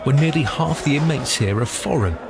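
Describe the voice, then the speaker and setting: A man narrating a documentary in a measured, matter-of-fact way. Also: on the high side, lively intonation, vocal fry, noisy background. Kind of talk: scripted narration.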